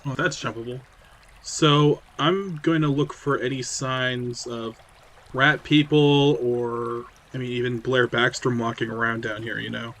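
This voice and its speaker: A man's voice: mid-range voice, steady tone, unhurried, fairly bright tone, slight background noise. A man playing a tabletop game, casually describing what his character does.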